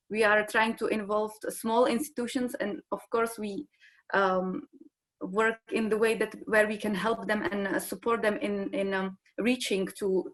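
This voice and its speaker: A woman speaks English as an on a conference panel. Her pitch sits mid-range for a woman. She hesitates often and fills gaps with "uh" as she restarts her sentences. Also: a bit bright. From Czech Republic. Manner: hesitant, many fillers and restarts. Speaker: conference panelist from a large arts institution. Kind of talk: panel discussion answer.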